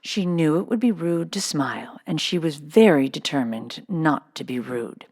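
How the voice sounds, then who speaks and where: Female storybook narrator, slightly low register, gravelly creak, scripted children's fiction read. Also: lively intonation, normal speed, darkish tone. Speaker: storybook reader.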